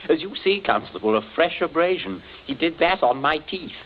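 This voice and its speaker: A man playing a sly, mocking gentleman captain. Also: on the high side, expressive, moderate pace, natural voice. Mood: sardonic. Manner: dry, sardonic, toying. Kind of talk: 1940s radio acting. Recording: dull old radio recording with faint hiss.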